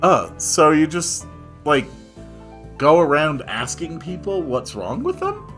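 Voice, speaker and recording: Man playing a awkward teenage boy, earnest, very animated pitch, emphatic, self-conscious, visual novel hero, music behind. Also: slow pace, heavily acted. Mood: baffled.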